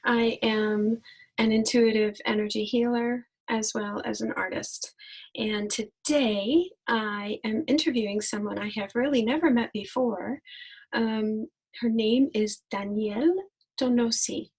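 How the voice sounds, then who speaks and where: A woman hosts an interview show in a fairly high voice. She speaks very slowly and deliberately. She is warm and enthusiastic and exclaims often. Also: fairly even intonation, breathy edge, poor audio. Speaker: host.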